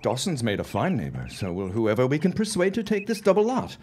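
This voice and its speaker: An actor playing a genial, optimistic husband and small-town booster in a period audio drama, speaking in a declamatory way. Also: male voice, mid-range voice, huge pitch swings, a little fast, a little mellow, a little hiss or hum. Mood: cheerful, expansive.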